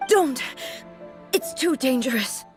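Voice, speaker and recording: A woman playing a dignified, gentle shogun in an anime-style game, speaking formally and with measure. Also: high-pitched, expressive, slow pace, faint music behind. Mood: alarmed. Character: solemn, gentle female shogun, dignified ruler.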